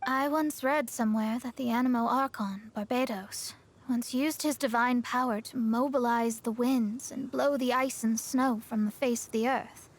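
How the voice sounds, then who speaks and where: A woman's voice: on the high side, fairly even intonation, normal speed, hardly any pauses, slight background noise, lightly acted. A woman playing a proud, self-assured young female astrologist in a video game, speaking in a haughty, articulate, explanatory way. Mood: explaining. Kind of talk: video game character acting. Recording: music behind the voice.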